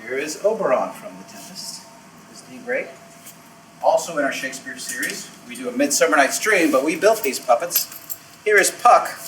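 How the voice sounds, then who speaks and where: A man's voice: mid-range voice, expressive, moderate pace, a bit bright. A man hosting an informal puppeteer's show-and-tell tour, talking off the cuff with theatrical showman phrasing and emphatic repeats. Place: indoors, backstage and storage rooms of a puppet theater. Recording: echoey, distant mic with background noise.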